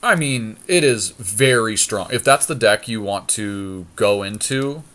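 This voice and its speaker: Man, lowish pitch, casual podcast co-host, opinionated, blunt, game draft commentary. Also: animated, unhurried, fairly bright tone.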